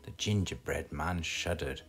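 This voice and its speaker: A British man reading a children's storybook aloud in an expressive, scripted storytelling style. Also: rumbling low, a little slow, husky, faint music behind. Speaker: storybook reader.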